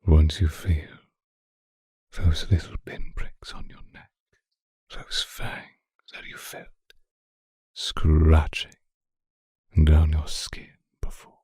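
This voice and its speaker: A man voicing a seductive, possessive vampire in an intimate ASMR roleplay, teasing and deliberate, with a breathy, husky voice that often drops into a whisper, close to the microphone. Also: rumbling low, dark tone, no room sound, heavily acted. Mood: sly, menacing. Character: seductive, possessive, obsessive male vampire stalker. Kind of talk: intimate ASMR roleplay monologue addressed to listener. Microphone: close microphone, dry.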